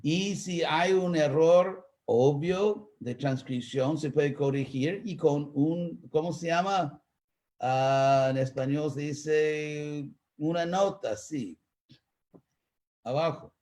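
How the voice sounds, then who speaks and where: A man with an American accent, a native English speaker, teaching in a live online talk and answering questions, pausing to search for words. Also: fairly high pitch, darkish tone. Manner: slow, searching for Spanish words with pauses. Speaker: spiritual teacher.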